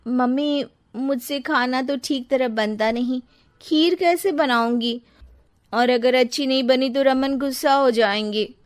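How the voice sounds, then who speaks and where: A high, girlish young wife acted by a woman narrator from a storybook tale. She is fussy about fitness and quick to apologise, with her voice sitting well up in pitch. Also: clear, resonant tone. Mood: worried. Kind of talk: storybook character voice.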